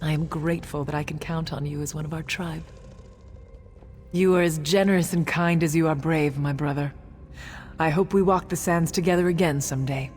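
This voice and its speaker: Noble desert tribeswoman for a fantasy game, female actor, low pitch, earnest formality, long echoing tail. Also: fairly even intonation, moderate pace, darkish tone, lightly acted. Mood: grateful, earnest. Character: honorable, warm-hearted desert tribeswoman, grateful warrior. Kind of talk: scripted game dialogue. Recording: long hall reverb. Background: game music.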